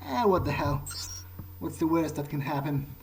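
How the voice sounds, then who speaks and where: A man's voice: a little high, lively intonation, normal speed, darkish tone, slight background noise, low-quality recording. A man who is not a native English speaker, voicing a vampire game character who thinks aloud to himself in a hesitant, muttering way. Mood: hesitant, curious. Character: vampire character musing to himself while sneaking around. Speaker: gamer playing in character.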